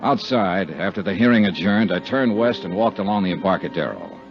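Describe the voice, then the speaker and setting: A man's voice: low voice, big pitch swings, brisk, creaky, exaggerated voice. A man playing a tough, wry insurance investigator in a 1950s radio drama, speaking and narrating in a hard-boiled manner. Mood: brooding. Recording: muffled 1950s radio recording with music.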